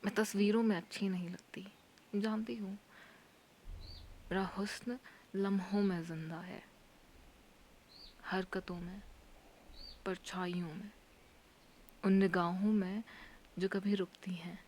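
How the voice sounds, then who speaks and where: A woman performs a poetic spoken-word monologue in an intimate, hushed, often breathy voice, leaving long pauses between phrases. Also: mid-range voice, steady tone, a little hiss or hum.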